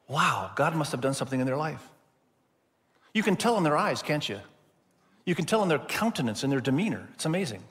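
A man's voice: fairly high pitch, a bit bright. A man who grew up in Indonesia, preaching an earnest, emphatic sermon to a church gathering. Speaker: pastor. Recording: long hall reverb.

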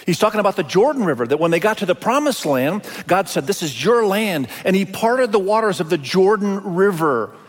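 A man from Indonesia, a native Indonesian speaker, preaching a sermon as a pastor in an emphatic way with deliberate pauses and repetition. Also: high-pitched, sing-song. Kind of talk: expository sermon. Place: large hall, speaking to a congregation. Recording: reverberant large hall sound.

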